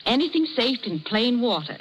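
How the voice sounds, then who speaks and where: A woman's voice: fairly high pitch, sing-song, moderate pace, poor audio. An actress playing a helpful, confident saleswoman in a 1930s radio commercial, instructive and persuasive. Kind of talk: 1930s radio advertisement acting. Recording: vintage radio recording, faint music.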